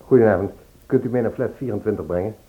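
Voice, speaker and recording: A man's voice: lowish voice, quick pace, breathy edge. An actor playing an earnest, lovesick man searching for a woman in an old Dutch radio play. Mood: polite, insistent. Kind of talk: Dutch radio play, acting and first-person narration. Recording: tinny phone-like old radio recording.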